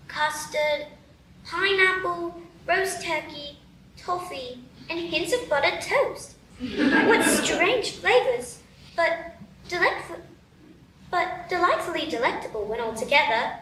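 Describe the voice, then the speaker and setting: Woman doing a prim curious little girl, super high voice, echoey room and far from the mic. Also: sharp tone, a little hiss or hum, heavily acted. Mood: wondering. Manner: wondering, earnest and prim. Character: curious, polite young girl lost in a strange land. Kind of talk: live radio play acting. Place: live stage.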